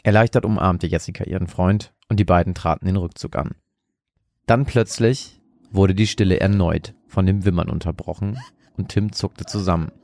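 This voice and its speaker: A man narrates a scripted suspense story in a low voice. There is plenty of gravelly fry in it. The sound is close and dry, with no room to it. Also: moderate pace, a little mellow, dry recording.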